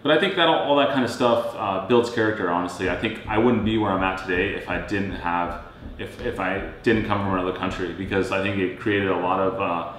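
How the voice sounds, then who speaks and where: A man in his thirties from Serbia, co-hosting a casual chat in a relaxed, rambling way. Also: brisk. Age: 30s. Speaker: co-host. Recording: very echoey room, faint rumble.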